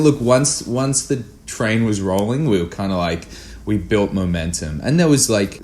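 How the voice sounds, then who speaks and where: A man's voice: sits low, a little mellow. A young man chatting casually as a filmmaker guest, often acting out his own thoughts as quotes. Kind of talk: casual interview chat. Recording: noticeable background noise, room ambience.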